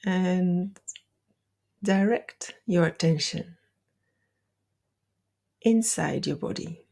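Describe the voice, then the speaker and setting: Low, husky woman guiding a meditation. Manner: slow, deliberate delivery with soft, breathy phrasing. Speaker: meditation guide. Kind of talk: guided meditation, gentle instruction.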